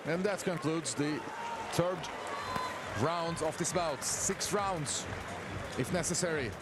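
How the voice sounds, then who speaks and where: A man with a Turkish accent giving live play-by-play of a boxing fight, rising to shouts at big moments. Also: a little high, slow, very noisy. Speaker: fight commentator. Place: boxing arena. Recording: loud arena crowd and music behind.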